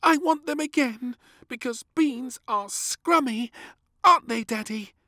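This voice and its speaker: A man voicing a fussy, gleeful little girl princess in a children's storybook, with whiny, drawn-out, exaggerated delivery. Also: extremely high pitch, bright, forceful tone, no echo, clean studio sound, pitched above the performer's own voice, heavily acted. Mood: pleading, eager. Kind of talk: read-aloud children's storybook.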